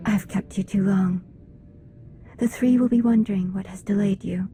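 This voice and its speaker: An actress playing a gentle, devoted female mystic turned leader in a video game cutscene, soft and sincere. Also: medium pitch, steady tone, moderate pace, soft background music, close to an everyday voice. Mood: quiet sincerity.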